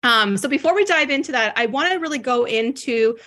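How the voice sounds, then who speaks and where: A woman's voice: sits high, lively intonation, brisk, a bit bright. A woman hosting her podcast, gushing and bubbly, poking fun at herself in casual chat. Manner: bubbly, gushing, self-deprecating. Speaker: podcast host.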